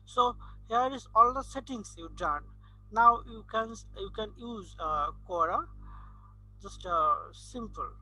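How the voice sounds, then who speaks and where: A man's voice: high-pitched, expressive, takes it slowly, bright, forceful tone, poor audio. A man with a Bangladeshi accent and Bangla as his mother tongue, talking through an unscripted screen tutorial in hesitant, piecemeal phrases. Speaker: freelancer giving an online tutorial.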